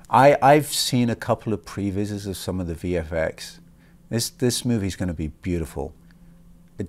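A middle-aged man with a lowish voice talks casually in a film press interview. He jokes about himself and trips over restarts as he goes. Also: a little mellow. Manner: chatty and self-mocking, many restarts. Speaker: actor. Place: film set interview, indoors.

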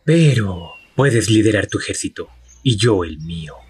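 Gravel-edged, humorless animal commander for a cartoon, male actor, curt and authoritative, heavily husky. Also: lowish voice, expressive, a little slow, a little mellow, exaggerated voice. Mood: deadpan. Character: stern, humorless male canine army leader. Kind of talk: animated fan dub acting.

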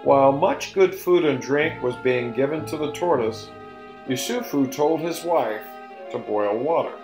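Guy, middle aged, telling a folktale real deliberate and theatrical, roomy echo, music behind. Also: medium pitch. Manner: deliberate, emphatic storytelling delivery. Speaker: storyteller and presenter. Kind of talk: theatrical folktale narration for learners.

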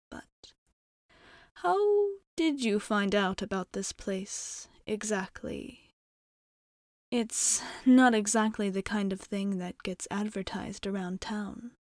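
Soft, slow-spoken courteous vampire woman, acted out. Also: mid-range voice, no room sound, clean studio sound. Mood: delicately curious. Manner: polite, measured, formal, often dropping into near-whisper. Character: courteous, guarded female vampire bartender fond of humans. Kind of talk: ASMR roleplay, soft slow delivery.

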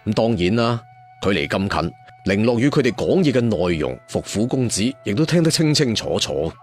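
A man's voice: lowish voice, sing-song, normal speed, faint music behind. A man telling a story theatrically, like a traditional serial storyteller with asides to listeners. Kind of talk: dramatic Cantonese audiobook storytelling.

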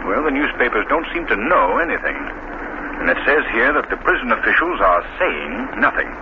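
An actor playing an urbane, curious gentleman sleuth with a polite, formal manner, in 1940s radio style. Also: mid-range voice, big pitch swings, fairly quick, natural voice. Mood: intrigued. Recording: muffled, noisy old radio recording.

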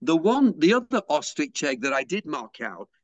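Man, slightly higher voice, dry sound, hesitant, video call interview. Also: sing-song, normal speed, no room sound. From England. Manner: hesitant, with fillers and restarts. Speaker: pysanky artist and interviewee. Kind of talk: unhurried explanatory interview talk.

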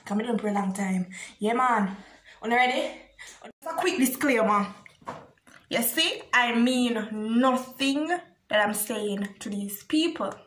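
A woman's voice: a little high, lively intonation, unhurried, a bit bright. A young adult woman with a Jamaican accent chatting casually to camera as a video blogger. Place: small bare indoor room. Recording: echoey small room.

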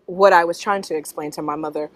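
Low-voiced American woman, reflective. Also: fairly even intonation, no echo. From North Carolina, US. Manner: thoughtful, halting, often checks in with "right?". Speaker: interviewee. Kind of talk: reflective personal interview answers. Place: outdoors in a leafy park.

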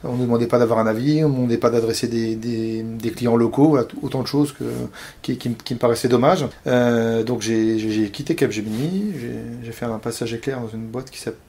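A man in his forties from Paris, speaking as an interview guest and pausing often on fillers and restarts. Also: mid-range voice, fairly even intonation, dark tone, a little hiss or hum. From Paris, France. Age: 40s. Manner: hesitant, many fillers and restarts. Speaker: interview guest, company founder. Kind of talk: casual interview talk.

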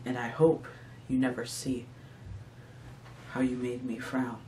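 Guy playing a sad bitter left-behind friend, really slow theatrical monologue, echoey room. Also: mid-range voice, level tone, dark tone, close to an everyday voice. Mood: wistful, sad. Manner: slow, mournful, theatrical recitation. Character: heartbroken, abandoned friend, bitter and wistful. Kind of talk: dramatic audition monologue. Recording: echoey room, distant mic, faint noise.

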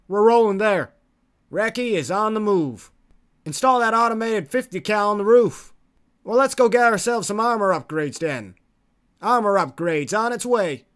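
A man voicing a gung-ho military vehicle crewman for game unit lines, in a clipped, brisk delivery. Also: high-pitched, fairly bright tone.

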